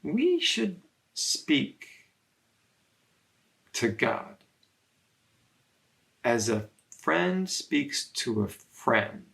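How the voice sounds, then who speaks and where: A man reading the part of an earnest, humble saint who teaches his friends in a storybook, speaking deliberately and urging them on. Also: mid-range voice, very animated, gravelly, a bit bright, heavily acted.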